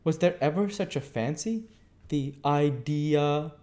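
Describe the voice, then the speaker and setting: Storybook matron, older, self-righteous and plaintive, male reader, raised pitch, abrupt emphatic delivery. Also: slow, a little mellow. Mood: indignant. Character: self-pitying, indignant older society woman, abrupt.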